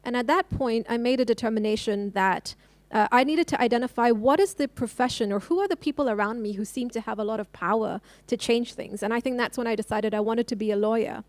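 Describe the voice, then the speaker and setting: A woman's voice: fairly high pitch, a little fast, no room sound. A woman from Hong Kong who works as a lawyer, talking on a panel in a thoughtful way with many "uh"s and restarts. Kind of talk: panel discussion.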